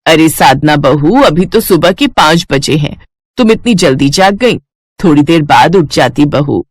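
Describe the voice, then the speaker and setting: A woman playing a kind older mother-in-law who speaks gently and gives household instructions. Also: deep voice, lively intonation, a little slow, breathy edge, dry recording, full character voice. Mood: gentle surprise.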